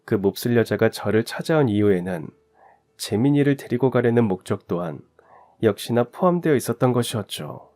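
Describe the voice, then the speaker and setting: A man's voice: medium pitch, lively intonation, fairly quick, warm tone, faint music behind. A man reading a first-person story aloud in an earnest, confiding storytelling manner. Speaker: story narrator. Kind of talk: scripted first-person narration.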